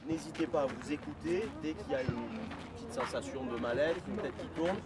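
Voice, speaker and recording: A man gives instructions to a group. His voice is fairly high and animated, picked up a little far from the mic among loud chatter. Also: a little fast.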